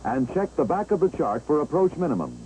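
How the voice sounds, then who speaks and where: An American man narrates an old 1960s training film with a mellow, relaxed tone. His pitch moves in a lively way as he explains each step in a measured manner. An engine-like noise sits faintly behind him on the aged soundtrack. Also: poor audio.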